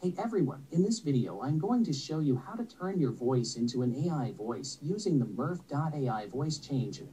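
Synthetic male read: mellow, rough-edged, lively intonation, slightly distant. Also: a little high, normal speed, few pauses, a little hiss or hum. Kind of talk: synthetic text-to-speech narration.

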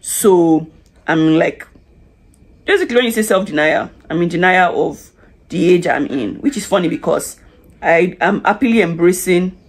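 A woman's voice: fairly low pitch, normal speed, breathy edge. A woman, chatting confidingly to the camera while doing her makeup in a small room. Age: 20s. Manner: chatty, confiding, lots of restarts and "like". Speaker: beauty vlogger. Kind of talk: casual get-ready-with-me chat. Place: small indoor room with curtains. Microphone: camera in front at close range.

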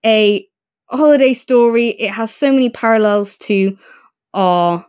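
A woman's voice: on the high side, a little slow, darkish tone, clear, resonant tone, no echo, clean studio sound. A woman co-hosting a podcast, chatting casually and effusively about books. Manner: enthusiastic, effusive. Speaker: podcast co-host. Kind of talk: casual book review discussion.

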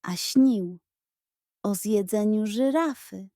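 A woman voicing a dramatic, easily indignant female giraffe in a children's audio story, with theatrical, expressive delivery. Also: mid-range voice, slow, no room sound, clean studio sound, lightly acted. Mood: mysterious.

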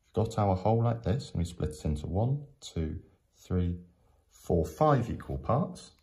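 A man teaching in a step-by-step tutorial, explaining patiently with frequent pauses. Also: low-pitched, sing-song, creaky, mellow. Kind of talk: step-by-step maths tutorial narration.

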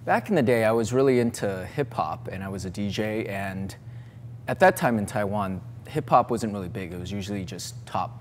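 A man's voice: on the low side, expressive, brisk, big-room sound. A man in his thirties with an American accent, answering questions casually as a talk show guest. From United States. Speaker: interview guest, night market founder. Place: TV talk show studio set with sofas. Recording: faint background noise.

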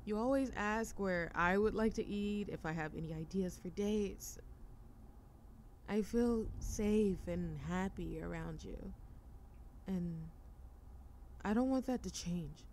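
A woman's voice: medium pitch, takes it slowly, pauses often, a little mellow. A woman playing a shy, affectionate young girlfriend who overthinks everything, hesitant and stammering in an intimate roleplay audio drama. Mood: tender. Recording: faint ambient noise behind voice.

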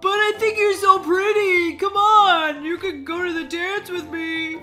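A woman's voice: very high voice, steady tone, a little slow, pushed and bright, faint music behind, heavily acted. A woman voicing an overeager, lovesick schoolboy who begs pushily, in a children's game story. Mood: pleading. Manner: eager, pushy, begging. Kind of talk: children's Roblox roleplay story.

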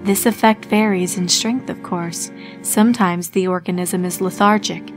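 A woman's voice: fairly low pitch, steady tone, moderate pace. A woman reading a scripted horror story as a dramatic narrator. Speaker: narrator of horror fan fiction. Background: music.

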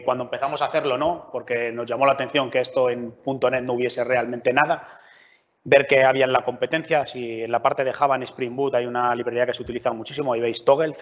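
A man presents at a developer meetup in a large room. He talks conversationally with frequent check-ins. The sound is muffled and carries long hall reverb. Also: mid-range voice. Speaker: meetup speaker. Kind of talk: technical conference talk. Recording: lo-fi roomy hall recording.

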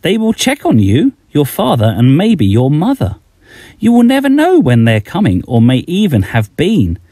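A man's voice: a little high, wildly swooping pitch, normal speed, dark tone, no room sound. A middle-aged man reading a rhyming bedtime story aloud, expressively, as a children's author addressing young listeners.

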